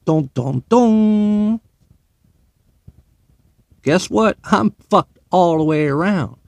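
A man's voice: high-pitched, animated, very slow, creaky, a little mellow, no echo. A man, a military veteran, delivering a profane, sarcastic and heated opinion monologue.

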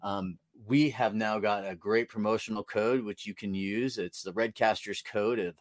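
A man's voice: lowish voice, steady tone, normal speed, no room sound. An American man delivering an informal sponsor read for a podcast, in a conversational style. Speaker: podcast host reading sponsor promo.

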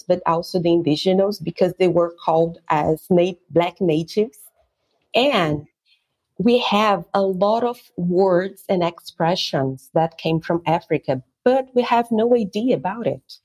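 A woman from Brazil with a Brazilian accent, a tour guide explaining history in careful, measured English as an interview guest. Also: mid-range voice, darkish tone. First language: Portuguese. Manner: measured, explanatory, searching for words.

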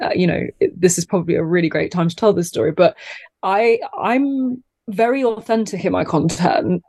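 A woman guest on a podcast, talking casually in a soft, soothing voice, chatty and self-deprecating, laughing often. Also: on the low side, dry recording. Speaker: guest, content creator.

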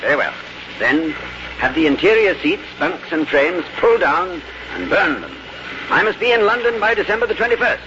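An actor in a 1950s radio drama playing a precise, composed gentleman adventurer with a formal, decisive delivery. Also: high voice, sing-song, moderate pace, noisy background. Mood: cool, decisive. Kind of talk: scripted first-person narration and 1950s radio drama acting. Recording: muffled old radio recording, loud music.

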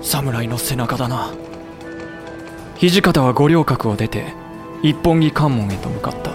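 An actor playing a composed, grave samurai official who speaks and narrates in a measured way in a Japanese period voice drama. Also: male voice, mid-range voice, over music, close to an everyday voice.

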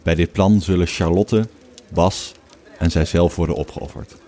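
A man narrating a scripted story in the present tense, in a measured, matter-of-fact way. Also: deep voice, steady tone, mellow.

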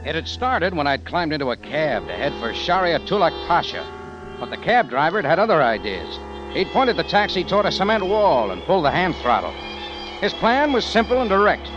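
An actor playing a tough, wry American adventurer with slangy, hard-boiled delivery in a 1950s radio drama. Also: male voice, on the high side, sing-song, brisk, over music. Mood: wry narration. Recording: dull old radio recording.

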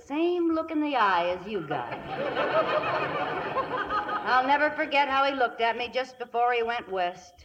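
An actress playing a brash, rough-talking frontier woman with a soft spot, using blunt, folksy western slang in a radio comedy. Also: a little high, very animated, unhurried, pauses often. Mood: blunt fondness. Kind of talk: 1950s radio comedy acting. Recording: muffled, noisy 1950s radio broadcast.

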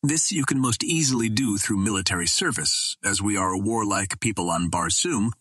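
Man voicing a cordial, low-voiced Martian. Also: normal speed, bright, forceful tone, breathy edge, no echo, clean studio sound. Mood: cordial, advising. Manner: formal, advising. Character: cordial Martian man, proud of his warlike people.

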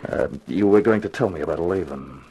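An actor playing a cynical, wisecracking hardboiled private detective in a 1950s radio crime drama, wry and flippant. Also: male voice, sits low, expressive, a little fast, mellow, a little hiss or hum, low-quality recording. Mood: wry, guarded. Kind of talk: 1950s radio crime drama acting with first-person narration.

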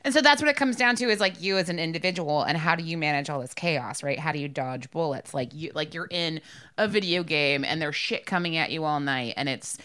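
A woman co-hosting a casual podcast chat, expressive and emphatic, often acting out dialogue. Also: sits low, brisk. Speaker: podcast co-host.

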